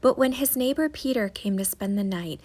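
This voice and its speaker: Breathy woman reading a bedtime story. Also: mid-range voice, darkish tone. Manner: dramatic, drawn-out storybook delivery. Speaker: storyteller reading a children's book. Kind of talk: expressive bedtime story narration.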